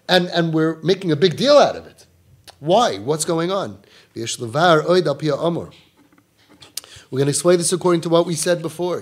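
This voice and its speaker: Animated man teaching a Torah class. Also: a little high. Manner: quotes Hebrew texts then explains in English, rising emphatic questions. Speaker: rabbi giving a Torah class. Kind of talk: animated religious lecture.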